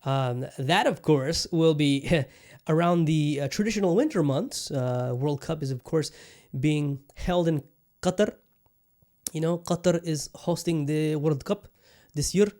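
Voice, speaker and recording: A man's voice: fairly high pitch, a little slow, darkish tone. A man hosting his own podcast in a casual solo chat, upbeat and rambling, often repeating his phrases. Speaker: podcast host.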